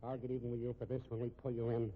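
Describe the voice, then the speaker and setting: A man's voice: medium pitch, monotone, racing, close to an everyday voice. A man playing a tough, indignant police officer in a 1950s radio drama, blustering and hard-boiled. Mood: angry, defiant. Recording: muffled, noisy old radio recording.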